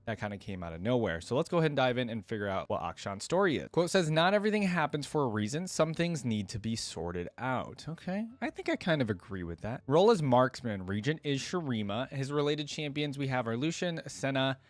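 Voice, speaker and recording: A man's voice: medium pitch, very animated, a little fast, hardly any pauses, dry recording. Man hosting a casual reaction, dry close sound. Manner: casual, addresses viewers directly. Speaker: host of a game lore reaction channel. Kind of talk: reaction commentary with read-aloud story passages.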